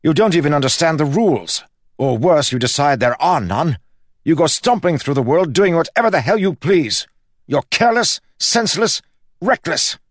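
A man voicing a furious young man whose voice rises into angry shouting. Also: a bass voice, a little slow, creaky, hardly any pauses, dry recording, studio quality, heavily acted. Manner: shouting, rising, accusing. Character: furious young man, sharp-tongued, losing his temper.

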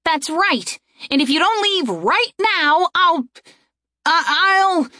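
A woman's voice: very high-pitched, expressive, takes it slowly, pushed and bright, no room sound, clean studio sound, voice pushed up, heavily acted. A woman voicing an earnest, flustered young woman standing her ground with pleading bravado in an audiobook. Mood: nervous defiance. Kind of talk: audiobook character voice.

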